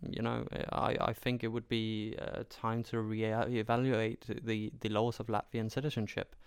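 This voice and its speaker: A man's voice: fairly low pitch, little pitch movement, normal speed, smooth, dry recording. A man from Denmark with a Danish accent speaks in an emphatic, argumentative way, driving points home with repeated phrases in an opinionated conversation.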